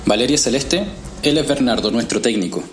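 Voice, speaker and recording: An actor playing a calm, diplomatic male journalist in an audio drama, explaining things in a composed, reassuring way. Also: medium pitch, brisk, music in the background, lightly acted. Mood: calm, guiding. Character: Néstor, calm, diplomatic journalist guiding an experimental procedure.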